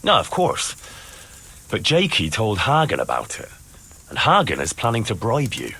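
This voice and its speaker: A wary young servant of a lord in a video game. He is blunt and dryly sardonic, with a fairly low voice that moves around in pitch expressively. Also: wildly swooping pitch, normal speed, vocal fry, sharp tone, husky, full character voice. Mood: firm, wary. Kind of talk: acted video game cutscene dialogue.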